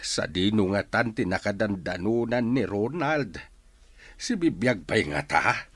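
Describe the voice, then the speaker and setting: A middle-aged man with an Ilocano accent playing a resentful, grieving father in a radio drama, his voice husky. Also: on the low side, animated, a little slow, a bit bright, dry recording, exaggerated voice. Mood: anguished.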